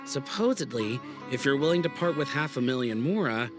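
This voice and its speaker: An actor playing a confident young student in a video game, explaining things in an assured, reassuring way. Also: male voice, medium pitch, expressive, brisk, music in the background, lightly acted. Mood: knowing. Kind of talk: video game cutscene acting.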